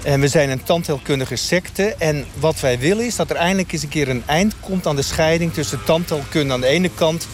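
A man playing an earnest satanic dentist in a mock radio interview, speaking in a halting, matter-of-fact way. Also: on the high side, faint music behind, lightly acted. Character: earnest satanic dentist of a sinister sect, male. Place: outdoors in a street. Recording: street noise, passing traffic.

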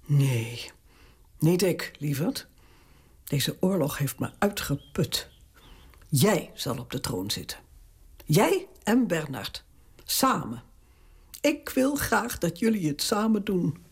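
A woman's voice: on the low side, sing-song, slow, pauses often, breathy edge, exaggerated voice. An actress playing an aging, strong-willed queen mother in a radio drama, talking in an imperious, indignant way. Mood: tender. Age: older.

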